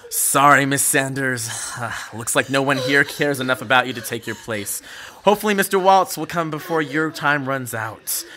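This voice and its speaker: A man playing a cruel, mock-polite gunman in an audio drama, speaking with theatrical, deliberate menace. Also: medium pitch, sharp tone, close to an everyday voice. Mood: mocking, taunting. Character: cruel, taunting male gunman leading a hostage robbery.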